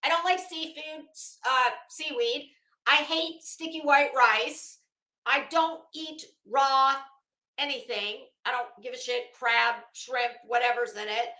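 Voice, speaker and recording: A woman's voice: sits high, fairly even intonation, slow pace, bright, forceful tone. An American woman hosting a livestream, chatting casually with viewers in a blunt, emphatic, chatty way. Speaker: livestream host. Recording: echoey, roomy sound.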